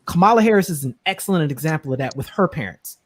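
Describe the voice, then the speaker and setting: Measured man with a fairly high voice. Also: expressive, slight background noise. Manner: measured, long analytical sentences. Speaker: live stream panelist. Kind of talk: discussion.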